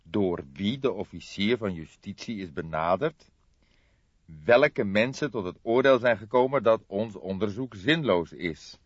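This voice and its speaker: A man voicing a calm, stubborn old police detective in an audiobook, weary and deliberate in his delivery. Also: fairly low pitch, breathy edge, dry recording, dull audio. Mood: deliberate, insistent. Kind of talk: audiobook character dialogue.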